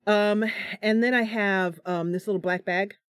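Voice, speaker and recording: A woman's voice: medium pitch, fairly even intonation, a little slow, clean tone, no echo, studio quality. A tired woman streaming live from her home computer, chatting casually, laughing at her own slip-ups. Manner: chatty, giggly, self-deprecating.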